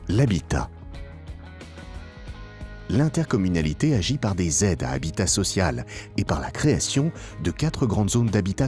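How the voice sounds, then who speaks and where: Male narrator, low pitch, animated melody, formal scripted promotional delivery, emphatic phrasing, soft background music.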